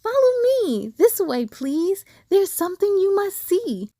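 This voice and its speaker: A woman voicing a shy, orphaned young male fawn from a storybook, speaking in a gentle, earnest manner. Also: extremely high pitch, very animated, unhurried, no echo, clean studio sound, pitched above the performer's own voice, full character voice. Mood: beckoning.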